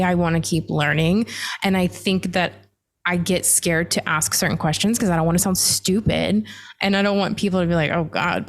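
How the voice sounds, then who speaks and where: A young woman with a slightly low voice hosts a casual podcast interview. She talks in an easy, enthusiastic, chatty way, often scattering "like" through her sentences. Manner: chatty, enthusiastic, many "like" fillers. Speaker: podcast host.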